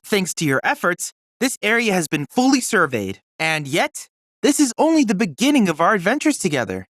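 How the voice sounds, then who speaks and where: A man's voice: fairly high pitch, fairly bright tone, no echo, studio quality. A young man playing an earnest, scholarly android boy research assistant in a video game, speaking with formal, precise, courteous diction. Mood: pleased, appreciative. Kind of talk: scripted video game dialogue.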